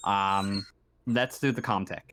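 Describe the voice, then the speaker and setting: A man narrating a tabletop roleplaying game as game master, speaking haltingly and repeating phrases as he improvises. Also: lowish voice, steady tone, a bit bright, a little hiss or hum. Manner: halting, repeats phrases while working out descriptions.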